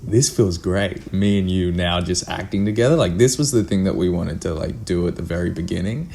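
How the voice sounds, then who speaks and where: Low-voiced man chatting candidly. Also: a little fast, vocal fry, dark tone. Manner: candid, informal, mildly sweary, self-interrupting. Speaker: actor and producer guest. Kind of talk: casual interview chat.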